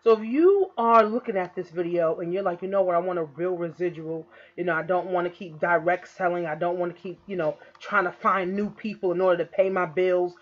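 A woman in her early thirties, an online business coach, giving a casual recruiting pitch to camera, repeatedly checking in with "okay?" and "guys". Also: steady tone, brisk, hardly any pauses, highs cut off. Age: 30s. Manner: repetitive, keeps saying "okay?" and "guys". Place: indoor room. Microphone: laptop webcam in screen recording.

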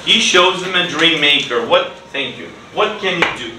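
A middle-aged man teaching an English class to children in simple, deliberate phrases, with repeated words and short instructions. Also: a little high, expressive. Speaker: ESL teacher. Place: indoor school classroom. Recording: roomy, noisy classroom, distant mic.

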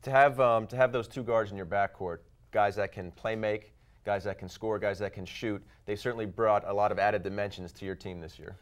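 A man co-hosts a college sports show from a studio, his voice smooth and resonant. He moves through his questions quickly in a fairly even, unvaried tone. The lapel mic keeps it clean. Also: on the low side. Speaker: co-host. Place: TV studio set.

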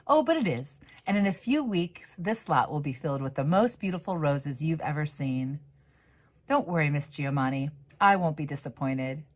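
A woman's voice: sits low, animated, moderate pace. A woman voicing a hopeful, determined little girl in a storybook, earnest and eager. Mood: eager, insistent.

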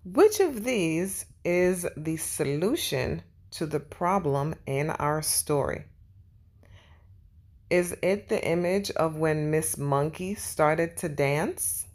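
A woman narrating a children's storybook and teaching young viewers in an animated, instructive way. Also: deep voice, slow pace. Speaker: children's read-aloud host.

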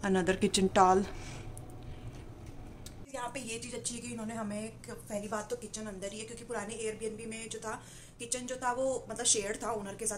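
A woman's voice: on the high side, a little hiss or hum. A woman in her twenties giving a casual apartment tour, chatting and pointing things out while walking with a handheld phone camera. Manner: chatty, mixing in English words, pointing things out. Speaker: vlogger. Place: small basement apartment indoors. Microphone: phone camera held while walking.

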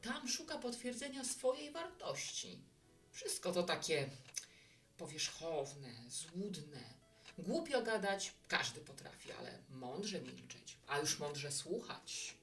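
A woman's voice: low voice, sing-song, slow, gravelly, fairly bright tone, distant mic, faint music behind, full character voice. A woman playing a hospitable, reflective bar keeper in a Polish audio drama, talking in a conversational, musing way.

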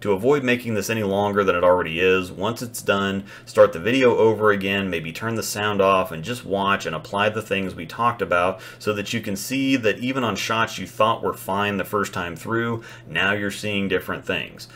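A man with a low, deep voice narrates an instructional lesson. He stays matter-of-fact and analytical, and he walks through each mistake in a steady scripted voice-over. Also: normal speed, few pauses, a little hiss or hum. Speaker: bowling instructor.

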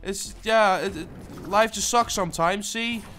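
A young man giving casual gameplay commentary, stammering and swearing, swinging between low mumbles and excited yelling. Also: unhurried, soft background music. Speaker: gaming channel host.

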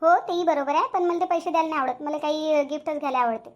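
A woman's voice: high voice, steady tone, quick pace, darkish tone, lo-fi sound. A woman with a Varhadi accent from Vidarbha, acting out chatty village women's dialogue for a cartoon story in colloquial, exclamatory speech.

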